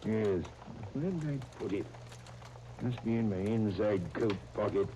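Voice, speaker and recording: Gruff, mellow old English uncle. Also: male voice, lowish voice, lively intonation, a little slow. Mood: fond grumbling. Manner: gruff, old-fashioned exclamations. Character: blustery, grumbling, affectionate older English uncle, Percy. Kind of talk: 1930s radio serial acting. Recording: noisy 1939 radio transcription disc.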